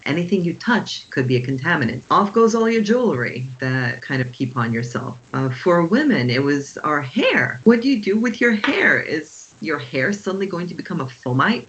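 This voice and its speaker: A middle-aged woman from Croatia, an emergency physician recounting experiences in a measured, reflective way with pauses. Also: low-pitched, fairly bright tone, dull audio. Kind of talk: conversational podcast storytelling.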